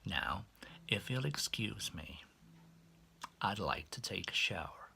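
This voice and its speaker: A man's voice: fairly low pitch, expressive, slow, gravelly, sharp tone, a little hiss or hum, pitched below the performer's own voice, heavily acted. A man voicing an embarrassed, flustered male entertainer giving terse, stiffly polite, reluctant answers in an audiobook. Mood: strained, reluctant. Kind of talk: audiobook character voice.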